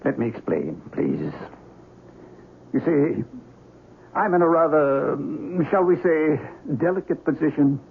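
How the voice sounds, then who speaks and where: An actor playing a grave, learned investigator of the supernatural in a radio mystery, speaking formally and deliberately. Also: male voice, on the high side, animated, vocal fry, full character voice. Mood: grave, knowing. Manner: precise, formal, deliberate, theatrical. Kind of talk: radio mystery drama acting. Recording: noisy dull radio broadcast recording.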